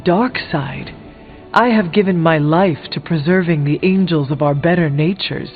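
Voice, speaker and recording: Man as grave, higher-pitched warrior hunter. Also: unhurried, natural voice. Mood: firm. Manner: formal, uncontracted, solemn speech. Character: grave, stern, uncompromising warrior hunter, adult. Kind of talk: video game character dialogue. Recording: thin phone-like sound, music behind.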